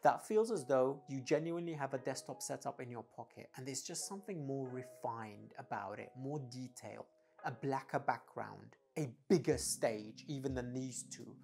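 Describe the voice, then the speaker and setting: A woman's voice: a bass voice, expressive, moderate pace, vocal fry, mellow, faint music behind. A woman giving a casual, opinionated gear review, speaking emphatically as a reviewer. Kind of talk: casual product review talk.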